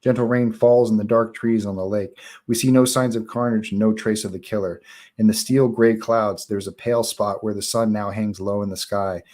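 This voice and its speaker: A man's voice: on the low side, steady tone, normal speed, dark tone. A man reading stage directions as narrator in a live table read of a horror screenplay.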